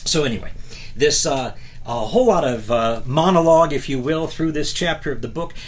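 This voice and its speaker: A man's voice: on the high side, a little fast. A man giving a motivational talk, reading from a book and commenting on it, emphatic and often checking in with rhetorical questions. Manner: rhetorical questions and frequent "right?" check-ins. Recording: clear background noise.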